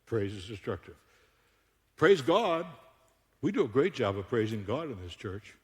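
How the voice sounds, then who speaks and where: An older man from Buffalo preaches in a playful, joking way and keeps breaking into laughter. His voice sits in an ordinary middle pitch. He speaks into a stage microphone, and the sound rings with the long reverb of a large church hall. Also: measured pace. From Buffalo, New York. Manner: joking, animated storyteller with frequent laughs. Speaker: guest speaker. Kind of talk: humorous sermon. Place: church auditorium.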